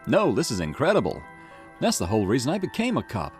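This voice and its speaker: A man's voice: medium pitch, wildly swooping pitch, fast talker, voice pushed up. A man voicing an eager, cheeky junior police detective in a visual novel, chatty and teasing. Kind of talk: acted visual novel dialogue. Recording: music behind the voice.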